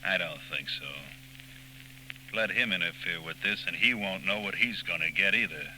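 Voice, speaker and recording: An actor playing a blunt, menacing holdup gang boss in 1940s radio style. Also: male voice, low voice, moderate pace, lightly acted. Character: tough, hot-tempered male holdup gang boss. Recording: scratchy 1940s radio recording with hum.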